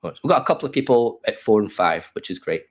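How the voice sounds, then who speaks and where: A man in his fifties with an Australian accent presenting a live online workshop from his home office over a webcam call. He sounds a little croaky from a cold and talks in a loose, welcoming way with plenty of fillers. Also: lowish voice, sing-song, fast. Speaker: webinar presenter. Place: home office in a desk chair. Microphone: webcam video call.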